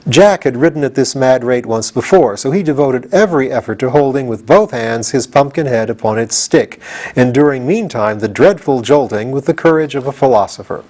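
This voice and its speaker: A man narrating a storybook as an expressive reader and host. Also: mid-range voice, a little fast.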